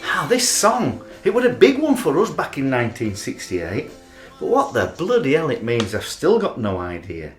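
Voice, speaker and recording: Man playing an obsessive fan, swooping pitch. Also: huge pitch swings, moderate pace, faint music behind. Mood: wistful. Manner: dramatic, aggrieved. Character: earnest, obsessive male rugby league fan, trainee social worker. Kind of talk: radio play monologue and dialogue. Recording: roomy amateur recording, faint background noise.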